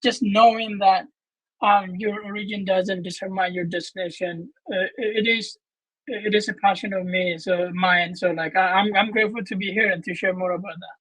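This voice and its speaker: A man's voice: high-pitched, fairly even intonation, normal speed, a bit bright, no echo, low-quality recording. Earnest man. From Ethiopia. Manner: earnest, with frequent restarts and fillers. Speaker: guest, medical student. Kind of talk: casual interview answers. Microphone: video call.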